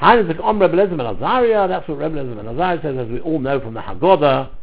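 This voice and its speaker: A man gives a Talmud lesson in a high voice that creaks and swings widely in pitch. He reads a phrase, translates it and explains it, often repeating himself, on a slightly dull recording. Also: racing. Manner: animated explaining, repeats phrases and stumbles over words. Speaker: Talmud teacher. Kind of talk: line-by-line religious lesson, reading and translating.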